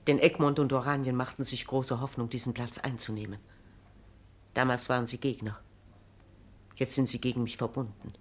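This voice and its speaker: A woman's voice: extremely low pitch, steady tone, moderate pace, pauses often. A woman playing a proud, aggrieved female regent in a classic German radio drama, declaiming formally and emphatically in standard German. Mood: bitter, anxious.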